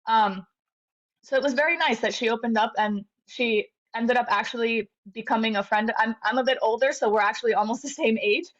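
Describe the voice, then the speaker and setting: A woman's voice: fairly high pitch, fairly quick. A woman in her thirties, a guest in an interview, tells her story in an earnest, reflective way, with many fillers and restarts. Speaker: interview guest, medical graduate. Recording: slightly dull lo-fi audio.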